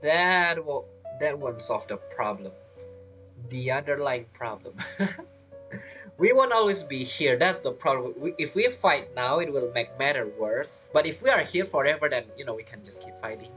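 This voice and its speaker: Low-voiced, animated woman streamer. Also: moderate pace, faint music behind. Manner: chatty, talks back to the characters. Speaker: game streamer. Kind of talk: casual reactive gameplay commentary.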